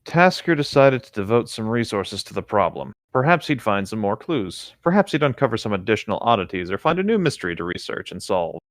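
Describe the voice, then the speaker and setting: A man's voice: fairly low pitch, sing-song, brisk, few pauses, dry recording, studio quality. A man co-hosting a podcast, reading fan fiction aloud casually with easygoing, dryly joking asides.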